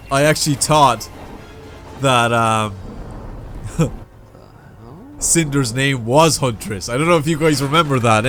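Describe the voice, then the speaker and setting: A man's voice: medium pitch, a bit bright. A man with a slight cold giving casual, enthusiastic reaction commentary as a video host. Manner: enthusiastic, informal, admiring. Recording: music from watched video behind. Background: music.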